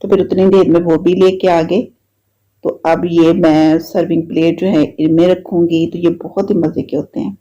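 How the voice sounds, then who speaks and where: A woman's voice: fairly low pitch, normal speed. Chatty woman with a slightly sore throat. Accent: Pakistani Urdu. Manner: chatty, repetitive, relaxed storytelling. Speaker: vlogger. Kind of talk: casual voice-over narration. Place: home. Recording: dull lo-fi sound.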